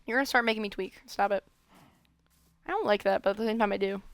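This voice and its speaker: Woman host, clear voice, dry close sound, rambling chat, lots of fillers. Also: mid-range voice, fast, no echo. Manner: rambling, many fillers and restarts. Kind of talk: casual chat while building in a game.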